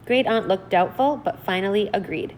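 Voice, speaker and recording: A woman teacher reading aloud and explaining in an expressive, deliberate, instructional way. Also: medium pitch, a little hiss or hum. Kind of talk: read-aloud lesson, narrating and explaining vocabulary.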